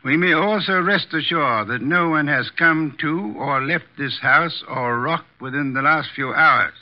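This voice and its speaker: An actor playing an imperious, erudite armchair detective with formal, deliberate diction, in 1950s radio drama style. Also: male voice, fairly high pitch, natural voice. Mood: formal, commanding. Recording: dull vintage 1950s radio recording.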